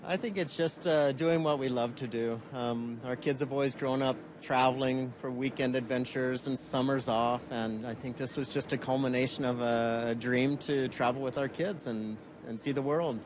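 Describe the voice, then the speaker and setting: A middle-aged man, a guest answering interview questions in a relaxed, easygoing way. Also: mid-range voice, normal speed, few pauses. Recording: clear traffic noise.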